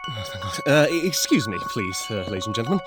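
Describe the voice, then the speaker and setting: A man's voice: lowish voice, big pitch swings, fast talker, over loud music. A man playing a cowardly young mafia boss in an audio drama, stammering, blustering and nervously polite. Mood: flustered. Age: young adult. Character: cowardly loudmouthed young male mafia don, fawning and flustered. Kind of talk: audio roleplay acting.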